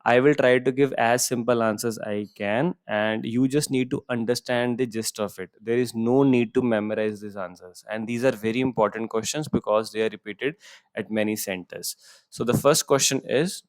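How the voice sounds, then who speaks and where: A man whose first language is Punjabi, teaching as an IELTS speaking tutor, reading each question aloud and then answering it in simple, steady sentences. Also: medium pitch, moderate pace. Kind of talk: exam-prep lesson with model answers.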